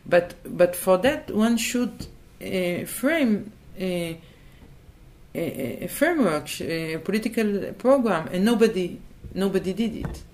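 A woman's voice: mid-range voice, expressive, takes it slowly, darkish tone, slight background noise. A woman from Israel, answering in a thoughtful interview and hesitating and restarting as she looks for words. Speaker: interview guest.

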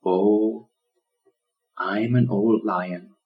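Man voicing a slow, solemn old storybook lion. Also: on the low side, steady tone, muffled recording, soft background music. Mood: calm, wistful. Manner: slow, solemn, preaching delivery. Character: old male lion, a gentle, solemn, loving shepherd.

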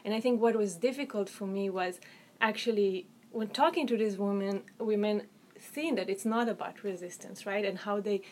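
A woman's voice: medium pitch, moderate pace, darkish tone, slight background noise. Thoughtful Israeli woman explaining her research. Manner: articulate, hedging with um and you know, frequent restarts. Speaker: author and researcher. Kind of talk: academic interview answers.

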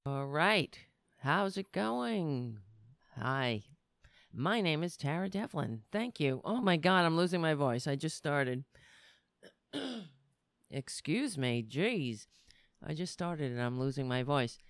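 A woman's voice: low voice, big pitch swings, slow pace, pauses often, no room sound, studio quality. A woman hosting a livestreamed political talk show, talking in a chatty, rambling and sardonic way.

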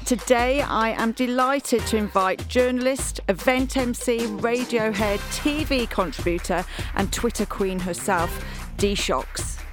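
A woman's voice: on the high side, a little slow, over music. A woman hosting a podcast, gushing and filler-heavy, eagerly chatting with her guest. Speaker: podcast host. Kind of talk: casual interview chat.

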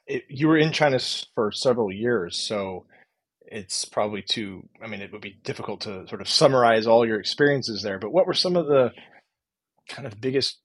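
A man's voice: on the low side, slightly rough. A man interviewing a guest, feeling his way through his questions and rephrasing them as he goes.

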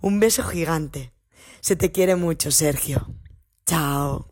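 Lowish young woman from Spain, dry sound. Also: slow, darkish tone, dry recording. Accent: Spain Spanish. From Alicante, Spain. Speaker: fan leaving a message. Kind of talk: affectionate personal message.

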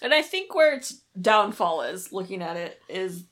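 A woman co-hosting a podcast, giving opinions in casual, halting conversational phrases. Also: medium pitch, big pitch swings, fairly bright tone. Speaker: podcast co-host.

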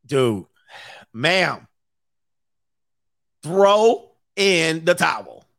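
A man's voice: fairly high pitch, big pitch swings, drawn-out words, vocal fry, a bit bright, no room sound, clean studio sound. A man in his forties hosting mocking reaction commentary, brash and slangy, laughing often. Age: 40s. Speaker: commentary host.

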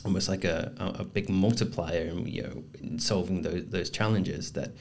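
A man talks as a podcast guest. His voice sits low, and he speaks earnestly, pausing over his words as he works out his thoughts. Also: fairly even intonation, a little mellow. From north of England. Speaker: podcast guest, communications manager. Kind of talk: casual podcast interview.

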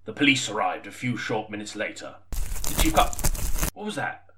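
A man's voice: on the low side, lively intonation, moderate pace, far from the mic, over music, natural voice. A man playing a histrionic, easily flustered actor in an audio comedy, with a theatrical, grandiose delivery. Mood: nervous. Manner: theatrical, grandiose, prone to exasperated outbursts. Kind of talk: audio comedy sketch, dramatic narration.

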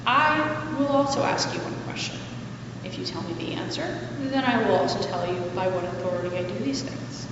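A woman reading scripture aloud at a church service in a formal, measured and expressive way, with deliberate phrasing. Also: medium pitch. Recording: very echoey hall, distant mic, noisy, muffled.

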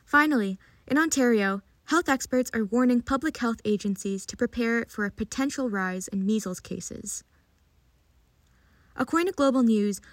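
Woman radio news host, kinda high voice, very dry studio sound, reading headlines. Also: no echo.

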